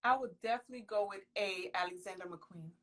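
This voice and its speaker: A woman's voice: medium pitch, fast talker, over a noisy crowd. A young woman of Haitian background whose first language was Creole, talking earnestly and emphatically as a guest on a casual live interview. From Haitian household, raised in the US. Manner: emphatic, earnest, exclaims often. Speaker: professional model, interview guest. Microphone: phone on a video call.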